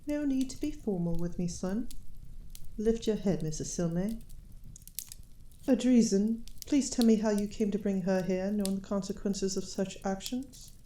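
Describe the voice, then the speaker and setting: A woman playing an elf queen and mother, speaking in a formal, measured, gracious and gently teasing way in a fantasy audio roleplay. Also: mid-range voice, slight background noise, close to an everyday voice. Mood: composed, probing. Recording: roomy, reverberant sound.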